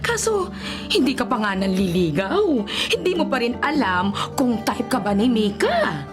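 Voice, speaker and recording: A woman's voice: on the high side, sing-song, moderate pace, music in the background. A woman playing a doting, meddling middle-aged mother in a Filipino radio drama, teasing and pushy, with a Filipino accent. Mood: teasing, insistent.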